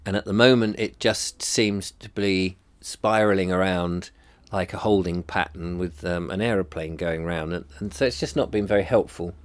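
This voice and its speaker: A man's voice: low-pitched, normal speed, dry recording. A middle-aged man with a blocked-up nose, musing hesitantly to camera with many ums and restarts. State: congested, bunged up. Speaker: vlogger. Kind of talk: rambling personal reflection to camera.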